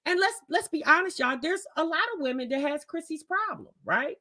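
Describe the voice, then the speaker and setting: A woman's voice: sits high, animated, a little fast, pushed and bright. A woman giving casual, opinionated commentary as a reaction host, talking emphatically and colloquially with frequent rhetorical questions.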